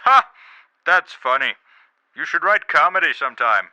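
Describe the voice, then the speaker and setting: A man playing a pompous, stern president with dry, deadpan insults in a comedy radio play. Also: a little high, normal speed, no room sound, dull audio, full character voice. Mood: dry, scornful. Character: pompous, stern president giving a secret mission, dryly insulting.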